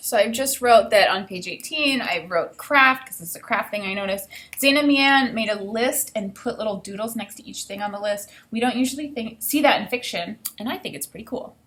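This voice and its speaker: Expressive woman teacher reading aloud. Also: on the high side. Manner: informal, explanatory, pausing to comment. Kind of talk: expressive read-aloud with think-aloud commentary.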